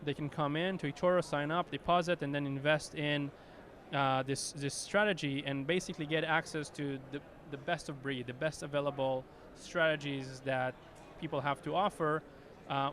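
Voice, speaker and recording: Israeli-accented man, slightly high voice. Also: steady tone, moderate pace, a bit bright. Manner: hesitant, many fillers and restarts. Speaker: company executive guest. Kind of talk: conference interview.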